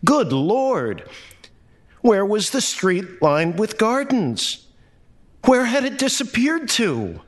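A man's voice: high-pitched, very animated, slow, voice pushed up, exaggerated voice. A man voicing a dazed, bewildered storyteller in a literary tale, exclaiming and questioning dramatically. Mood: astonished. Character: bewildered first-person storyteller, overwhelmed and dazed.

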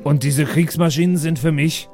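A man's voice: fairly high pitch, steady tone, moderate pace, darkish tone, close to an everyday voice. A man playing a childish, eager and boastful dwarf son in an improvised audio play. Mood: awed, selfish. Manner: naive, boastful. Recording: music behind the voice.

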